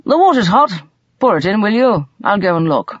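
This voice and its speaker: Older uncle character performed by a female narrator, audiobook, earnest, practical, animated pitch, husky, slightly muffled recording. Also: moderate pace, dry recording. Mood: brisk, helpful. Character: older uncle, practical, firm, shaken by memory.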